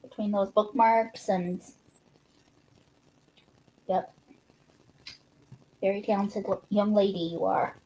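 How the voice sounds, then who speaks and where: A woman's voice: medium pitch, steady tone, slow, pauses often, dark tone, a little hiss or hum, low-quality recording. A woman co-hosting a livestream in casual, chatty talk. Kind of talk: casual chat.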